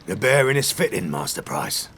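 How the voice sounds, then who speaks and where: Deep, swinging-voiced pirate captain. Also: male voice, big pitch swings, normal speed, a bit bright, breathy edge, full character voice. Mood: wry, commanding. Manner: archaic seafaring speech, commanding. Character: ruthless, wry commanding pirate captain. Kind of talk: full-cast audio drama acting.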